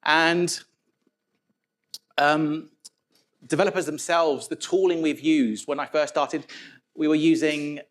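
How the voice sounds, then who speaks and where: A man speaks from the stage at a tech conference. His voice sits a little high for a man, and he keeps things informal, pausing on "um" and restarting his sentences as he goes. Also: steady tone. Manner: conversational, informal, with frequent fillers and restarts.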